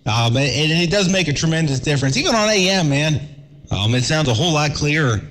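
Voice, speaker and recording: A man's voice: on the high side, lively intonation, a little fast, a bit bright, rough recording. A man chatting casually over amateur radio, laid-back and calling everyone "man".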